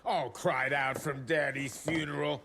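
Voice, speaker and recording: An actor voicing a foul-mouthed, bullying government secretary who shouts and sneers in a gravelly voice. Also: male voice, fairly high pitch, fairly even intonation, measured pace, background noise. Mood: ranting. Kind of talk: video game cutscene acting.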